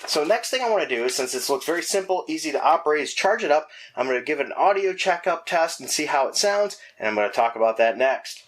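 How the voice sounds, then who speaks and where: A man's voice: a little high, expressive, quick pace, few pauses. A man hosting a casual product review, talking chattily and often correcting himself mid-sentence. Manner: chatty, frequent self-corrections and restarts.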